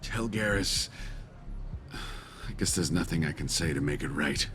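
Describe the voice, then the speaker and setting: A man playing a guilt-ridden alien fugitive in a video game, speaking in a weary, halting, subdued way. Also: very deep voice, a bit bright, a little hiss or hum. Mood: remorseful.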